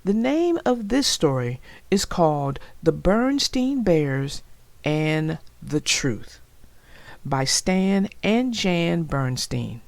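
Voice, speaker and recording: A woman narrating a children's storybook aloud in a deliberate, expressive way. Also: on the low side, vocal fry, dry recording.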